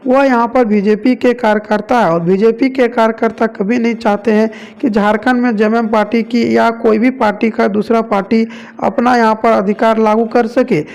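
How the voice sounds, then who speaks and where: A man's voice: extremely high pitch, steady tone, moderate pace, vocal fry, darkish tone. A man from India narrating news reports as a presenter, leaning on repeated stock phrases.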